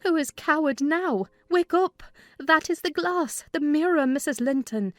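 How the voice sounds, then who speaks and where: A woman plays a sensible housekeeper telling a story from a classic novel. Her fairly high voice is measured in narration and turns firm and scolding in dialogue, over soft music. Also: lively intonation, no echo. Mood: alarmed, urgent. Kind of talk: dramatized first-person narration of a classic novel.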